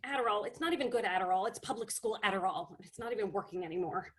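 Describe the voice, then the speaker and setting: An actress playing a frazzled, defensive, snarky young publicist in a comedy table read over a video call. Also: female voice, medium pitch, quick pace, close to an everyday voice.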